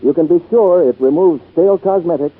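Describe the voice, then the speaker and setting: A man's voice: a little high, a little fast, breathy edge. A male radio announcer delivering scripted 1940s advertising in polished, emphatic sales patter. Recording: tinny 1940s radio broadcast.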